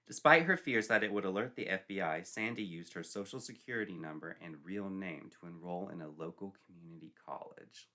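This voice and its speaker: Forceful male narrator, theatrical delivery. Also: low voice. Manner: theatrical, mock-serious. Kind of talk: dramatic scripted story narration.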